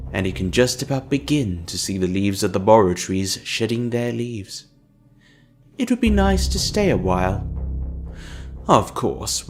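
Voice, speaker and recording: A man with a low voice narrates a dark scripted story. He takes his time, measured and grave, with faint music underneath.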